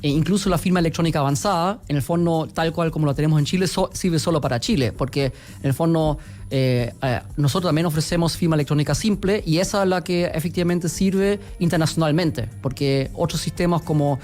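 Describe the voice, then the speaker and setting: A man's voice: on the high side, a little fast, darkish tone, soft background music. A man with a German accent, giving hesitant, filler-heavy answers as a guest on a radio interview. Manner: hesitant, many fillers and restarts. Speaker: guest, company executive.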